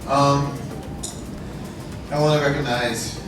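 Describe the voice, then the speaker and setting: A man giving a welcome speech at a ceremony, modest and a bit halting as he greets the guests. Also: medium pitch, fairly even intonation. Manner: modest, halting, lists dignitaries with fillers. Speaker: foundation head addressing guests. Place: large echoey ceremony room. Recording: echoey room recorded from far off, noisy.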